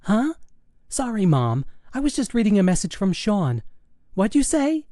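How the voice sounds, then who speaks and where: A man's voice: sits high, huge pitch swings, a little slow, warm tone, no room sound, clean studio sound, voice pushed up, full character voice. A man voicing a sarcastic, dramatic teenage girl in an audiobook, with an exasperated, mocking manner. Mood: distracted, apologetic. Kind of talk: audiobook character dialogue.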